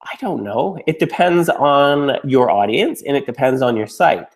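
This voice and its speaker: A man getting over a cold, a little raspy and snuffly, answering student questions in a casual, frank Q&A. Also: medium pitch, lively intonation, unhurried. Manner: blunt, frank, with mild swearing and restarts. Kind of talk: casual Q&A talk.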